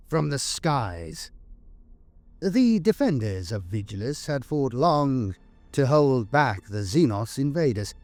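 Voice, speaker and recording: A man's voice: mid-range voice, darkish tone, dry recording. A man delivering dramatic scripted war-lore narration in a grave, theatrical, emphatic manner.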